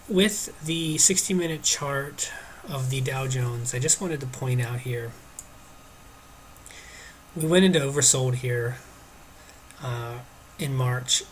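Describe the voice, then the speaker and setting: Man, stuffed-up nasal voice, market commentary, hesitant with lots of uhs, faint background hiss. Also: medium pitch. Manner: hesitant, many uhs and restarts. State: stuffed up from allergies. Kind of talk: casual screen-share chart commentary.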